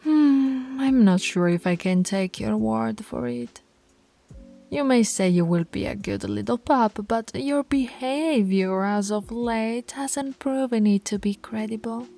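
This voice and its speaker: A woman's voice: a little high, a little slow, creaky, dark tone, soft background music. A woman voicing a dominant female werewolf pack alpha in an audio roleplay, teasing and commanding, often dropping to a whisper. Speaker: voice actress.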